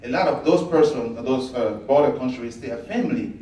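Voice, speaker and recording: A man from Ivory Coast answering audience questions after his presentation, halting with many fillers and restarts. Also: medium pitch, mellow. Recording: echoey room, far from the mic, faint noise.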